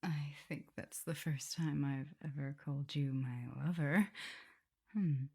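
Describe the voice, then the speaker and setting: Female vampire mistress, acted by a woman, husky low voice, unhurried delivery, intimate ASMR roleplay, affectionate, gently teasing. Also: full character voice.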